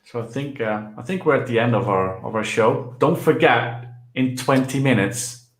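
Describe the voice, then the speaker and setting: A man's voice: mid-range voice, normal speed, reverberant. A man hosting a casual show on a video call, joking and laughing often. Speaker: podcast host.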